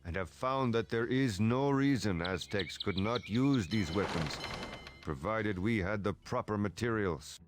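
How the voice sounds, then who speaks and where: An actor playing a loyal Aztec warrior adviser in a video game, grave, formal and determined. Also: male voice, lowish voice, close to an everyday voice. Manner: deliberate, earnest, formal. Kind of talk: scripted video game mission dialogue.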